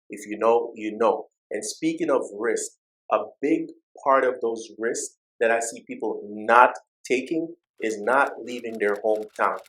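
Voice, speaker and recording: Jamaican-accented man, mid-pitched finance YouTuber, motivational and direct, leans on repeated phrases. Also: fairly bright tone, rough recording. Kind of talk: motivational talk to camera.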